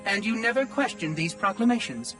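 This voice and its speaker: Bright, prying ancient machine. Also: male voice, high voice, very animated, a little fast, faint music behind, poor audio. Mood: condescending. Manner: prying, quietly condescending. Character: curious ancient male machine caretaker, condescending. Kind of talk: video game cutscene dialogue.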